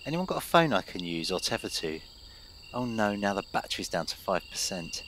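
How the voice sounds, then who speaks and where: A man as a low-voiced hapless cult doctor. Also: very animated, a little fast, fairly bright tone, a little hiss or hum. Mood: flustered, apologetic. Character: hapless, apologetic doctor serving as cult clerk. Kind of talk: comedy audio drama acting.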